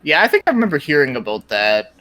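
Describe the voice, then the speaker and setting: A man's voice: fairly high pitch, sing-song, quick pace, sharp tone, dry recording. A young man chatting casually as a podcast guest about his interests, enthusiastic, slangy and rambling. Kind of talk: casual gaming podcast chat.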